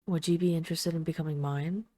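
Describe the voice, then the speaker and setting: Low, mellow flirtatious vampire lady played by a woman. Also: steady tone, dry recording, clean studio sound. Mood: seductive, coaxing. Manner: teasing, coaxing, deliberate with lingering pauses. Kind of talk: intimate audio roleplay addressed to the listener.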